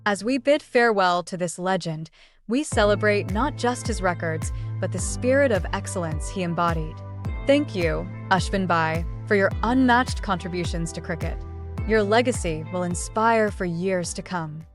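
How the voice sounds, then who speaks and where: A woman reading a scripted tribute narration in a measured, formal, polished way. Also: lowish voice, expressive. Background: loud background music.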